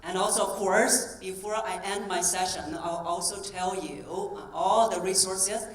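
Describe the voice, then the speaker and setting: A woman voice coach speaks on stage in a large hall, lively and coaxing as she drills the crowd. Her voice sits at a middle pitch, and it carries from some way off the mic. The room rings around her with faint ambience behind. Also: a little slow, distant mic. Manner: energetic, coaxing, drills the audience. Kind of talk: keynote talk with audience exercises.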